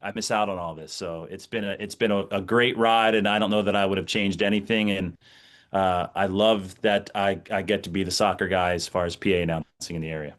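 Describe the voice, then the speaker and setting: A man's voice: low-pitched, fairly even intonation, brisk. A middle-aged American man, a sports announcer, speaking conversationally as an interview guest and reflecting through anecdotes.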